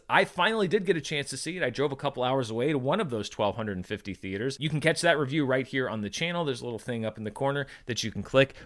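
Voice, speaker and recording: A man's voice: medium pitch, fairly bright tone. A man presenting film box office commentary, conversational and emphatic, as the host of his own show.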